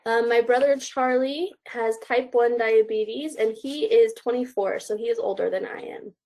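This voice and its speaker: A woman's voice: fairly high pitch, normal speed, a little mellow, low-quality recording. A woman in her twenties introducing herself briefly and plainly on a video call. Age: 20s. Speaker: panelist.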